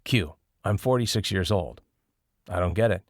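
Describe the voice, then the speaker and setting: A man's voice: sits low, normal speed, no echo, studio quality. A man voicing a firm, generous man of forty-six in audiobook dialogue, assertive and matter-of-fact. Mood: worldly, advising. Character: generous, firm middle-aged man, 46, mentoring younger travelers.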